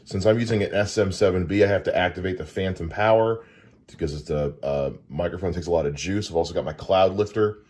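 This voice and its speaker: A man hosting a podcast, casually walking through his studio gear on a dynamic broadcast mic, with frequent "uh" fillers. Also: fast. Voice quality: deep voice. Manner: relaxed and explanatory, with frequent "uh" fillers. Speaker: podcast host. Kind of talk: casual gear unboxing walkthrough.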